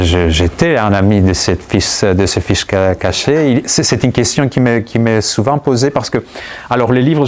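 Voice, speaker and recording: A man from Greece with a Greek accent, a writer answering questions conversationally and searching for words as he goes. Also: medium pitch. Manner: halting, searching for words, thoughtful. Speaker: writer being interviewed. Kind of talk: conversational answers at a book talk.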